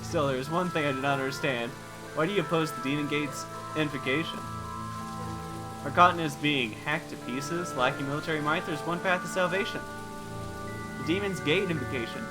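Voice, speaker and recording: A man's voice: fairly high pitch, a little fast, music in the background, close to an everyday voice, poor audio. Man voicing a cold, formal scheming villain. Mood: cold, persuasive. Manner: formal, archaic, threatening.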